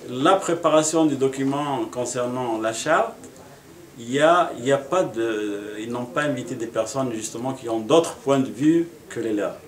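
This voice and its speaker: A middle-aged man from Morocco, a municipal election candidate, answering interview questions in an earnest, measured way with frequent pauses. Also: medium pitch. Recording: echoey room.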